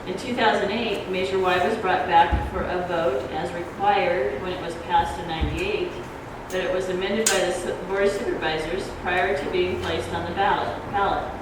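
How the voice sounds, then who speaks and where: A soft-voiced woman giving an informal explanatory talk at a public forum, speaking in a halting, hesitant way. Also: on the low side, fairly even intonation. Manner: halting, hesitant, loses her thread. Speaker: ballot measure proponent, debate speaker. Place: community grange hall. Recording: echoey hall, distant noisy microphone.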